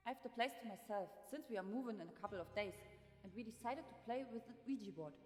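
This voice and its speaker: A woman's voice: on the high side, lively intonation, fast, a little mellow, soft background music, close to an everyday voice. A woman playing a frightened, pleading teenage girl in a dramatised horror story, speaking frantically. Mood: frantic. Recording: echoey with long reverb tail.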